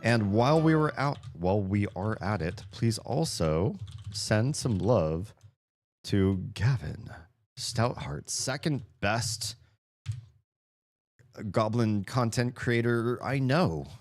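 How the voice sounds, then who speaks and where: Deep-voiced Southern US man rambling. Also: lively intonation, slow pace. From Southern United States. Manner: rambling, self-interrupting, wry. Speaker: streamer. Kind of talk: casual livestream chat while gaming. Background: background music.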